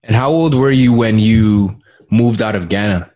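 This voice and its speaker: Lower-voiced male podcast host. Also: measured pace, darkish tone. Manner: rambling, repeats words while framing questions. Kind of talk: podcast interview.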